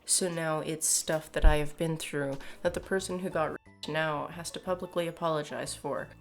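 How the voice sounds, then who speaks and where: A young woman with a Canadian accent talks casually to camera in a fairly low voice. She is emphatic and exasperated, with a sardonic edge, as she shares her opinions. Soft music plays behind her. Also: fairly even intonation, moderate pace. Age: young adult. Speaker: video commentator. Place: small home room, indoors.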